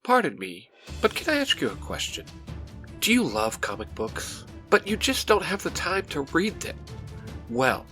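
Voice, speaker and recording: A man's voice: a little high, wildly swooping pitch, normal speed, loud music behind the voice. A man reading a scripted podcast promo as an announcer.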